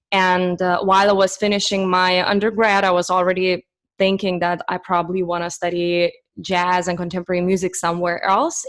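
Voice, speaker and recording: A woman's voice: lowish voice, a bit bright. A woman, a composer and vocalist on a panel over a video call, talking earnestly in long run-on lists. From Lithuania. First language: Lithuanian. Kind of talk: casual panel talk.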